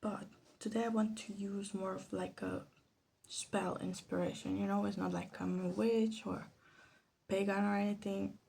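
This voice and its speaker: A soft-spoken woman giving casual, rambling ASMR commentary, hesitant and drifting into whispers. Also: mid-range voice, level tone, moderate pace, gravelly, a little hiss or hum. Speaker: ASMR creator making perfume. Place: small room at home.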